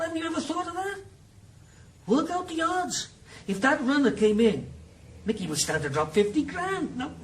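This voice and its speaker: A blunt, skeptical sidekick. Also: male voice, very high-pitched. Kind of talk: radio drama acting.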